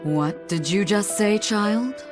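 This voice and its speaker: A woman's voice: medium pitch, slow pace, natural voice. A woman voicing a sly, savvy sorceress in a video game, dry and languidly teasing. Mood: dry, imperious. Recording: game audio with music behind.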